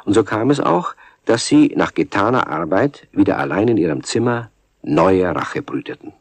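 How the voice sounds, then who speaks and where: A man's voice: lowish voice, lively intonation, moderate pace, no echo. A man narrating a children's radio play in a scripted storytelling style.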